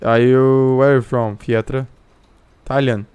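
A man's voice: medium pitch, level tone, normal speed, a little mellow, smooth, dry recording. A Brazilian man streaming a multiplayer game, teasing other players in broken English mixed with Portuguese. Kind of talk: joking multiplayer game chat.

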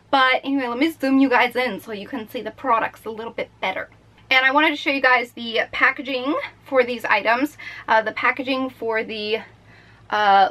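A woman's voice: on the high side, pushed and bright. A woman in her twenties giving a casual, chatty makeup review to camera, informally addressing her viewers in a bedroom. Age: 20s. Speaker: beauty vlogger, product reviewer. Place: small bedroom, indoors.

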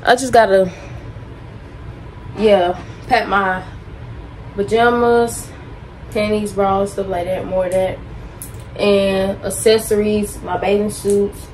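A woman's voice: medium pitch, little pitch movement, slow, pauses often, a little mellow, low-quality recording. Young adult woman, easygoing rambling vlogger on a phone mic, faint background noise. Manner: laid-back, rambling, many fillers. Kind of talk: casual vlog chat to camera. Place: bedroom at home, indoors. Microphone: phone held or propped nearby.

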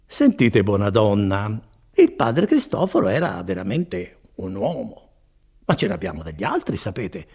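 A man's voice: medium pitch, a little mellow, breathy edge, exaggerated voice. A man voicing a folksy, placid friar who rambles on consolingly in an audiobook story. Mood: coaxing. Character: chatty, placid old-fashioned friar, folksy and evasive. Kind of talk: dramatized audiobook reading.

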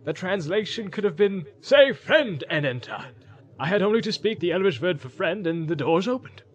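Raspy old wizard for a storybook, swoops around a lot, excitable and bossy, theatrical. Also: male voice, high voice, normal speed, pitched above the performer's own voice, exaggerated voice. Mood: rueful, amused. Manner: exclamatory, theatrical, swooping between amusement and urgency. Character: old male wizard, excitable, learned, commanding. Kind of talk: dramatised storybook character voice.

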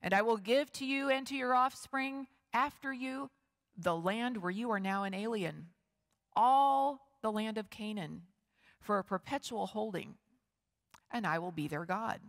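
A middle-aged woman pastor reading scripture and preaching, with a measured, expressive delivery and slow emphatic pauses. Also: a little high. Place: large wood-paneled church sanctuary. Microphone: lectern and stand microphone, far camera. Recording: long church hall reverb.

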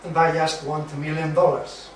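Distant echoey recording, man as wry male friend, deadpan, slightly high voice. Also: moderate pace, lightly acted. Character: wry, joking young male friend, Chandler. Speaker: student in an amateur dramatized reading. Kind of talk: amateur dramatized sitcom reading. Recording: distant, very echoey room, faint noise.

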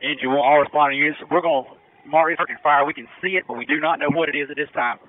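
On thin, dry two-way radio, a man with a slightly high voice talks quickly. He sounds like a firefighter calling in short emergency updates from an engine. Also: steady tone, dry recording, phone-quality sound.

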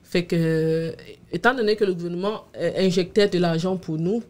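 Low-pitched woman explaining, halting and repetitive. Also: darkish tone. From abroad, immigrant to Canada. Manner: hesitant, repeats words as she explains. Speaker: podcast guest. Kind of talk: casual interview answers, explaining personal experience. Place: small indoor podcast studio. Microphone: studio microphone on boom arm with headphones.

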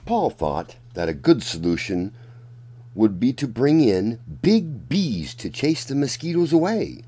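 A man reading a children's picture book aloud as a storyteller, in a deliberate, dramatic manner. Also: medium pitch, sing-song, dark tone, breathy edge. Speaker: children's storyteller and channel host.

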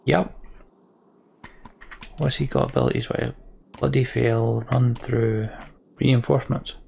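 A man with a very creaky, gravelly voice at an ordinary pitch talks casually through a video game. He mutters short fragments as he thinks aloud, with faint noise and clicking behind him. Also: flat delivery, takes it slowly, darkish tone. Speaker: let's play host.

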